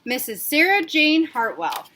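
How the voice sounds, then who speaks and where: A woman reading aloud plays a bubbly school principal who gushes in a high, sing-song voice. She sounds bright, eager and a little bossy with the class. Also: big pitch swings, a little slow, full character voice. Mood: gushing. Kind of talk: storybook read-aloud.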